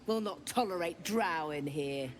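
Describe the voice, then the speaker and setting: A woman playing a gruff, hostile camp guard in a fantasy game, curt and commanding. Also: on the low side, expressive, unhurried, soft background music. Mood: stern, grudging.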